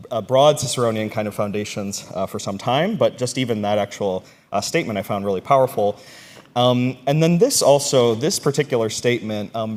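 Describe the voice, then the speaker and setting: A man's voice: fairly low pitch, darkish tone. A man with a stuffy, congested voice from a cold, giving an academic conference talk with frequent ums and uhs. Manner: halting, many ums and uhs. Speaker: conference presenter, ethics instructor. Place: conference room. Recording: long reverb of a large hall.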